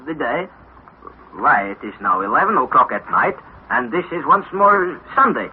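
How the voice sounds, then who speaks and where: An actor playing a kindly Icelandic guide, speaking deliberately and soothingly with formal phrasing in a 1960s radio drama. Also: male voice, medium pitch, very animated, natural voice. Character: kindly, calm Icelandic guide nursing an injured companion. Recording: tinny, noisy vintage radio recording.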